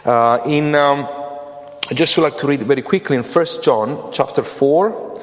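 A man's voice: mid-range voice, unhurried. Italian-accented man preaching, hesitant. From Italy. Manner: hesitant, many fillers and restarts. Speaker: guest preacher. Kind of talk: sermon. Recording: reverberant hall with faint ambient noise.

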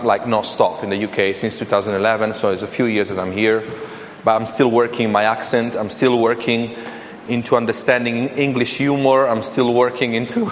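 A man from Italy with an Italian accent preaching a sermon as a guest speaker, speaking hesitantly with frequent fillers and restarts. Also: medium pitch. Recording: reverberant hall with faint ambient noise.

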